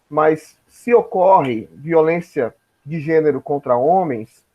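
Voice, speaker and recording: A man's voice: fairly high pitch, lo-fi sound. A man with a Brazilian Portuguese accent giving an informal lecture in a didactic way, often checking that listeners follow. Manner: didactic, frequent tag questions checking understanding. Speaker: teacher, lecturer.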